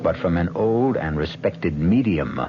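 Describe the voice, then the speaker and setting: Male host-narrator, dramatic and lively, muffled vintage radio recording. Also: deep voice, a little slow, heavy background noise. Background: loud music.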